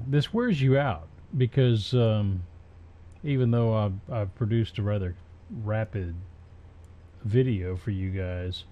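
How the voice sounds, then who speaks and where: Male tutorial presenter, dark mellow voice, unhurried and halting, working through steps aloud on a muffled recording. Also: lowish voice. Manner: halting, thinking aloud as they work. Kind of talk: casual unscripted software tutorial narration. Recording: dull, muffled home recording with faint noise.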